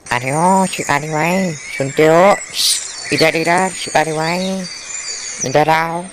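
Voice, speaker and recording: A man's voice: a little high, steady tone, slow. A man voicing an ambitious, cocky young lion who talks in a colloquial, boastful way in a cartoon fable. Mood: calling out. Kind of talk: Hindi animated moral story dialogue. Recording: faint background noise.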